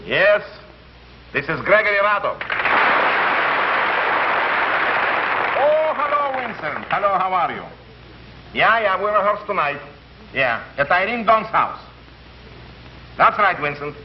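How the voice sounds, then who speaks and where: A man playing a busy, excitable Hollywood film director in a 1950s television sitcom, with emphatic delivery. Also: sits high, unhurried, pauses often. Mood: brisk. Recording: dull, noisy 1950s TV recording.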